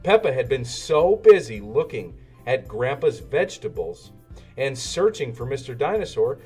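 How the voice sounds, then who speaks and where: A man reading a children's story aloud in an animated narrating style. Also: mid-range voice. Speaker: storybook reader. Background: music.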